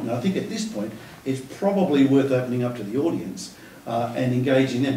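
Hesitant moderator in a roomy hall. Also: male voice, medium pitch, darkish tone, a little hiss or hum. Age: middle-aged. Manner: hesitant, many restarts and fillers, thoughtful. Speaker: moderator, academic host. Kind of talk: panel conversation. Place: lecture room with a seated audience. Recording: roomy lecture hall, mic at a distance.

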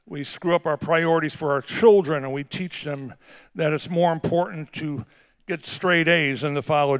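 A man's voice: on the high side, clean tone. Man preaching with a big voice. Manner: swings from quiet asides to shouted emphasis; drawn-out emphatic phrasing on key words. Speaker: pastor. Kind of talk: sermon.

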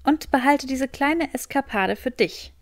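Audiobook role: bossy, flustered young woman, put on by a woman reader, raised pitch, snappy and indignant. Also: expressive, unhurried. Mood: exasperated.

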